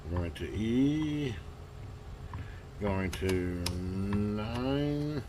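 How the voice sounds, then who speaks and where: A man giving casual hands-on commentary as a hobbyist host, halting and muttering to himself as he works. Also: on the low side, lively intonation, noisy background. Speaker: vintage radio hobbyist hosting a repair video.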